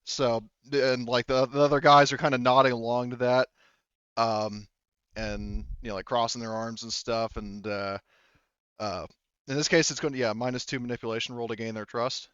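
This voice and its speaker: A male game master improvising narration in a casual, rambling, halting manner, swearing here and there. His voice is smooth and medium-pitched, on a dry, slightly dull recording. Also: fairly even intonation, fairly bright tone, no echo.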